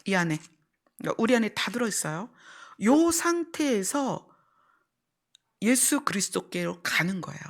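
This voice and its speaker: Creaky-voiced woman preaching a sermon. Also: mid-range voice, sing-song, normal speed, long pauses. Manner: explanatory, repeats key phrases, poses questions to the listeners. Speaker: pastor preaching. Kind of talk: church sermon with teaching and illustrations.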